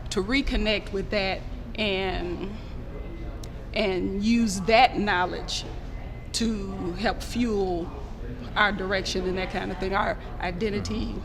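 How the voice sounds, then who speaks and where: A woman speaking earnestly and in a measured way as a panelist on a roundtable talk show. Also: medium pitch, crowd noise. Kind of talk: panel discussion. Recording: echoey room with steady noise.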